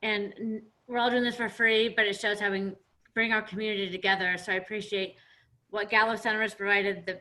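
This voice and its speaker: A woman's voice: medium pitch, level tone, fairly quick, sharp tone, poor audio. A woman speaking informally as co-host of a community panel event. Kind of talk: informal closing remarks and audience questions.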